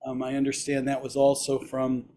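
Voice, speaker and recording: A man with a raspy, hoarse voice leading a Buddhist service online, slowly intoning chants and gentle instructions. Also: medium pitch, monotone. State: hoarse after surgery. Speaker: Buddhist teacher leading practice. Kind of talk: leading a chanted metta practice.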